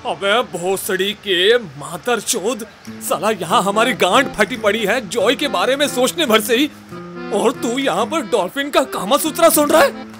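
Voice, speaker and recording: Male actor as bossy ghost investigator, high-pitched, irritable, foul-mouthed, horror-comedy audio drama, music behind. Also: animated, a little slow. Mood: exasperated.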